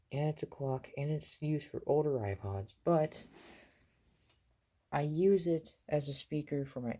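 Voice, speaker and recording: A man's voice: medium pitch, mellow. A man giving a casual, rambling show-and-tell as a hobbyist vlogger, offhand and full of detail.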